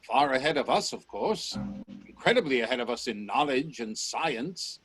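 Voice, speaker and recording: An actor playing a bold, probing scientist and time traveller in a radio play, speaking in an assertive, professorial way. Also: male voice, mid-range voice, animated, moderate pace, fairly bright tone, soft background music, close to an everyday voice. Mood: probing, dissatisfied. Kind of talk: radio drama acting. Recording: noisy video-call audio with clicks.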